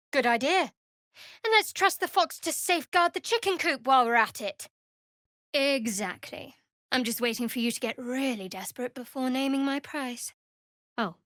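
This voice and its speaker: A young female cleric from a fantasy video game, guarded and sardonic. Her voice sits fairly high and is recorded close and dry. She speaks in a measured, wry way, as a devotee of a dark goddess. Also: expressive, no room sound, exaggerated voice.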